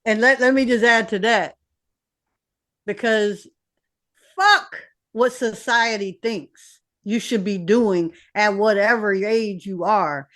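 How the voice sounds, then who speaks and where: A woman's voice: medium pitch, slow. A woman in her fifties, a recording artist, talking bluntly and with lots of swearing as a guest in a casual interview. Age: 50s. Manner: blunt, swearing, streetwise and animated. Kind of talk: candid casual interview talk.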